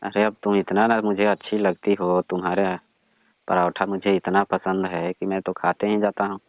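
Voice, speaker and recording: Man acting as bossy elderly father-in-law, low voice, flat intonation, badgering and dismissive, staged phone skit, dull narrow phone sound. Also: normal speed, no echo, natural voice. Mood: teasing, insistent. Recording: dull, band-limited phone call recording.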